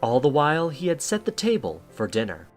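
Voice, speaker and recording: A man's voice: mid-range voice, huge pitch swings, brisk, soft background music. A man reading scripted story narration as the narrator of an audio drama.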